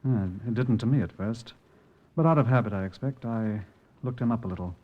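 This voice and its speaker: Man playing a dutiful police sergeant, medium pitch. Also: fairly quick, dull audio, natural voice. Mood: thoughtful, measured. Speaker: actor in a radio crime drama. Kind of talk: old-time radio police drama acting.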